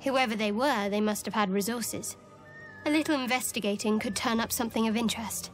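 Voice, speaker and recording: A cool, guarded young adventuress voiced by a woman from a fantasy game. She speaks in a slightly high voice with a dry, evasive manner, over soft music. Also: fairly quick, close to an everyday voice. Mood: guarded, thoughtful. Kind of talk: video game dramatic acting.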